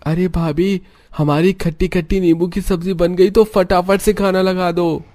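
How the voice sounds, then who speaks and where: A man's voice: high-pitched, fairly even intonation, normal speed, darkish tone, lightly acted. A man voicing an eager young man in a cartoon story. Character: young man, respectful but eager, high voice. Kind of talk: Hindi cartoon story acting.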